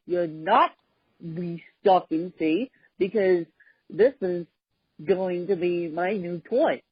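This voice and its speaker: A woman hosts a podcast chat in a husky voice, speaking very slowly. She talks haltingly, stopping often and filling gaps with "um". The recording is dry and a bit dull. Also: fairly low pitch, dry recording. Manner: halting, with frequent pauses and fillers. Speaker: podcast host. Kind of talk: casual conversational podcast chat.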